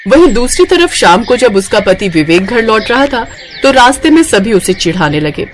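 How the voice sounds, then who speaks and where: A woman's voice: a little high, sing-song, moderate pace, soft background music. A woman storyteller reading scripted narration for a moral tale.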